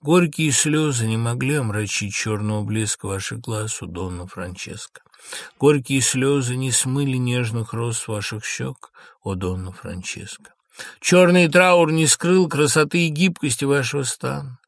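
A man's voice: fairly high pitch, moderate pace, creaky, few pauses, fairly bright tone, no echo, clean studio sound, voice pushed up. A man playing an anguished, proud and imperious Italian duke in a theatrical, declamatory, pleading manner, as in a dramatic play reading. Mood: mournful, reproachful.

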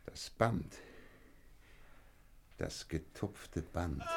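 A cool commanding detective in a radio drama, low voice, deliberate and intense, noisy recording. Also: male voice, full character voice. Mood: grim, brisk. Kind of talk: German radio drama acting.

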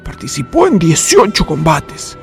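An actor playing a courteous old storyteller in a radio drama, with a Chilean accent and a theatrical manner. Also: male voice, sits high, wildly swooping pitch, measured pace, creaky, soft background music, full character voice. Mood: solemn narrating. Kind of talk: Spanish-language radio drama acting.

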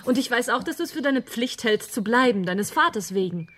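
An actress playing a caring, quietly resolute young woman in a radio drama, gentle, earnest and encouraging. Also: a little high, expressive, brisk. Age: young adult.

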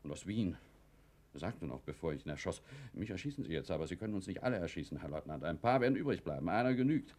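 Jittery guilty factory boss in a radio play, middle aged, blustery, pitch jumping all over. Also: male voice, expressive, fast talker, darkish tone. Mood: defensive, brooding. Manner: nervous, blustering. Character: agitated guilty factory owner, former army lieutenant, evasive and domineering. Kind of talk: German radio play acting.

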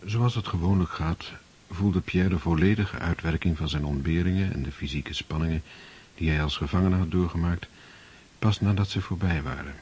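A man narrating a radio play in a measured, solemn way. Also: low voice, darkish tone, breathy edge.

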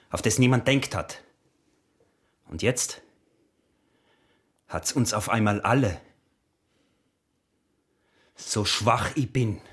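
An actor playing a frail, cunning, possessive peasant husband in a radio drama, with an Austrian accent and a deliberate, menacingly controlled delivery. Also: male voice, fairly low pitch, fairly bright tone. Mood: controlled, grim. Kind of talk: German radio drama acting.

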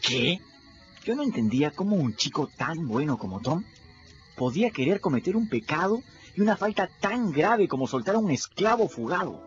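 An actor with a Peruvian Spanish accent playing a quick-witted young boy who tells his own story in a folksy first-person manner in a radio drama. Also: a little high, sing-song, soft background music. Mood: storytelling. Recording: dull lo-fi radio recording.

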